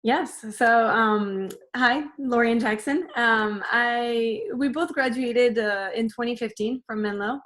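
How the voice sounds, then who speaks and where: A woman in her late twenties from southern France, speaking as a podcast guest, soft-spoken and hesitant, with many fillers. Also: fairly high pitch, slow, few pauses. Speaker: guest, accountant. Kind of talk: podcast interview.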